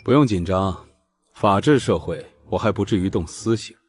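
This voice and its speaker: A man's voice: low-pitched, normal speed, breathy edge. A man playing a suave, sardonic wealthy boss in scripted drama, dry and needling.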